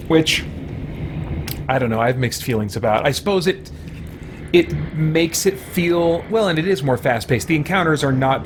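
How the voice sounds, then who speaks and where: A man hosting a gaming livestream, chatting casually and thinking aloud in a rambling way. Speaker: livestream host. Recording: noisy background rumble.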